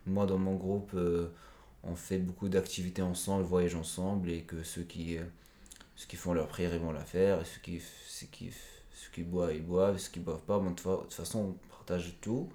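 A man's voice: low-pitched, level tone, moderate pace, dark tone, echoey room. A man talking as a podcast guest, earnest and reflective, explaining his views at length in a conversational interview.

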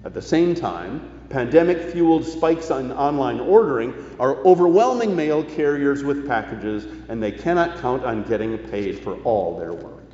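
Guy giving a sermon, lively up and down voice, big echo, kinda dull recording. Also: medium pitch, moderate pace, hardly any pauses, a little hiss or hum. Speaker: preacher. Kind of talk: sermon, partly reading quoted news aloud. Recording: echoey church hall, slightly dull sound.

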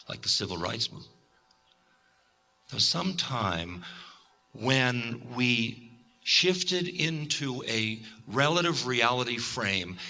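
A man giving a recorded spiritual lecture in a calm, reflective, measured way. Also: mid-range voice. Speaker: spiritual teacher.

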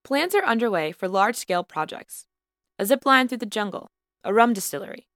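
A woman's voice: on the high side, sing-song, brisk, a bit bright, no room sound. Woman narrating a podcast in a dry voice. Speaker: podcast host and student reporter. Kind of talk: scripted narration.

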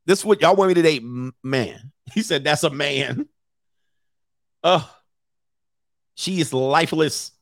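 Gravelly, brash man in his forties. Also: on the high side, slow pace, long pauses, no echo, clean studio sound. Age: 40s. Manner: brash, laughing, slangy, breaks into humming. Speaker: commentary host. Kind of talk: mocking reaction commentary.

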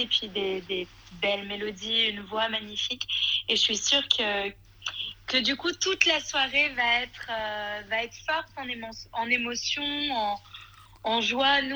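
A woman's voice: a little high, sharp tone. A woman from Switzerland, a singer chatting casually and enthusiastically as a guest over an internet call. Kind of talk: casual chat. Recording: noisy remote call.

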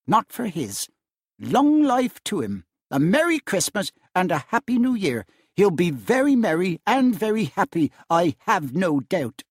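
A man voicing an indignant, sharp-tongued Victorian mother, sarcastic and scolding, in a storybook reading. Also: on the high side, very animated, unhurried, breathy edge, no room sound, studio quality, voice pushed up, heavily acted.

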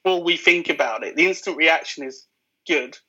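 A man in a casual podcast chat who thinks aloud about films. His voice is fairly high and very bright. He repeats his first words before getting going. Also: brisk. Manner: musing, stammering on opening words. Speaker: podcast co-host. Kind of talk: casual film discussion.

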